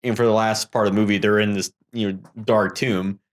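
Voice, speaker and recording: A man's voice: lowish voice, little pitch movement, brisk. A man co-hosting a podcast, casually chatting about movies with sarcastic asides and some swearing. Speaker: podcast co-host.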